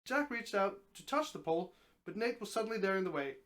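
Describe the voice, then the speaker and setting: A man's voice: high-pitched, sing-song, a little fast, a bit bright. Man, live story reader, informal narration, occasional stumbles and rereads. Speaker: streamer reading a story aloud.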